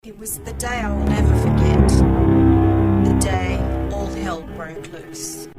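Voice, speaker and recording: A woman's voice: low-pitched, huge pitch swings, a little slow, heavily acted. A young woman playing a curious, cheeky niece touring the planets in an amateur sci-fi radio play. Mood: sombre, reflective. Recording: homemade radio play under loud music.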